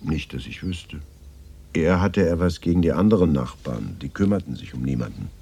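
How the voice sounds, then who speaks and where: An actor in a radio drama playing a weary, defensive war survivor who speaks in a subdued, evasive way. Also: male voice, a bass voice, lively intonation, brisk, creaky, mellow, slightly rough, exaggerated voice. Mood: reticent. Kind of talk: 1980s German radio drama dialogue.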